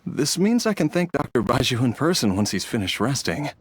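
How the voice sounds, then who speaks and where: A man's voice: mid-range voice, very animated, a little fast, a little hiss or hum, lightly acted. An actor playing a humble, grateful middle-aged father in a video game, mild and hesitant, with music behind him. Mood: resigned. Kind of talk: English video game voice acting.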